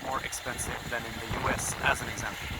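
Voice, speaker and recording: A man's voice: mid-range voice, level tone, fast talker. A young man with a Swedish accent, chatting casually and upbeat about his new car in a vlog. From Sweden. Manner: chatty, upbeat, self-deprecating asides. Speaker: car vlogger. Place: outdoors at a fuel station and by a car. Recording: outdoor wind and ambient noise.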